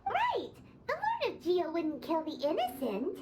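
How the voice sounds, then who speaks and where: A squeaky, sky-high little fairy sidekick, swooping wildly up and down as she blurts out quick reactions. She talks slowly in a game cutscene, with music clearly audible behind her. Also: a little hiss or hum, exaggerated voice. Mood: excited. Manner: shrill, excitable outbursts.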